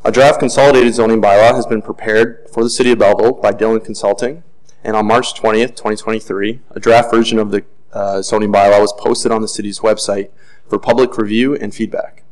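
Man, city planning staff, formal measured reading, lowish voice, council chamber. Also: fairly even intonation, darkish tone, low-quality recording.

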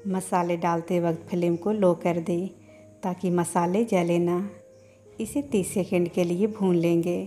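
A woman guides listeners through a home recipe in a calm, measured voice-over. Her voice sits low and warm, moving in a narrow, even line. Faint background music plays beneath her steady instructions. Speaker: cooking channel host.

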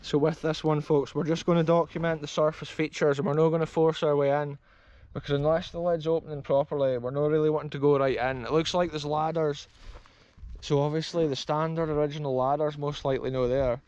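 A man's voice: fairly high pitch, level tone, fairly quick, a little mellow, no room sound, lo-fi sound. Enthusiastic Scottish man filming outdoors. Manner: enthusiastic, addresses viewers as folks. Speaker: explorer vlogger. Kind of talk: walking tour commentary. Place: open grassy field outdoors. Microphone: action camera held close.

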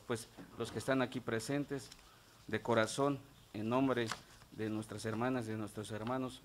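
A man's voice: on the low side, a little hiss or hum. Masked man reading a formal address. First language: Ch'ol. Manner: formal, reads from prepared notes. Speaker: government secretary appearing before lawmakers. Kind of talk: formal address to a legislative committee. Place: legislative meeting room. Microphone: table gooseneck mic, face mask on.

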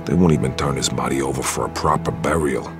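An actor playing a hard, proud, terse Sicilian-born mobster in a video game. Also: male voice, very deep voice, quick pace, music in the background. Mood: grim. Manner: terse, gruff. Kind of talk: video game cutscene acting.

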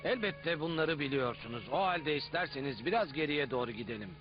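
A man's voice: fairly high pitch, expressive, a little fast, lightly acted. A man playing the patient, explanatory storyteller-narrator of an educational cartoon. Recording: muffled audio with music behind.

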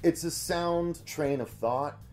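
A man's voice: on the high side, slow, darkish tone, a little hiss or hum. An American man in his thirties co-hosting a review show, chatting casually to the camera. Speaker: co-host of a comic review show. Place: small indoor room lined with comic shelves.

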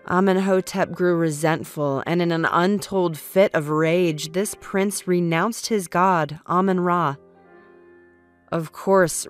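A woman voicing an ancient former handmaid to a pharaoh, telling her past calmly in an audio comic. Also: on the low side.

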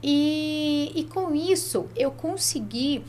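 A middle-aged Brazilian woman with a fairly high voice tells her story as an interview guest. She talks conversationally, sprinkling in little tag questions. There is noticeable noise behind her. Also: lively intonation, takes it slowly, darkish tone. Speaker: guest, franchise founder. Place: small curtained podcast studio. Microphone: close podcast mic on boom arm.

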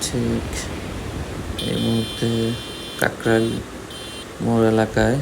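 Flat-toned Bangladeshi man over road noise. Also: medium pitch, slow. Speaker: vlogger. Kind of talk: casual commentary while riding a motorbike. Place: outdoors on a moving motorbike in city streets. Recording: clear traffic and wind-like road noise. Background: vehicle and road noise.

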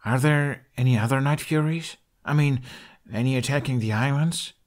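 Man as an earnest young Viking, lifted pitch. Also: normal speed, close to an everyday voice. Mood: curious.

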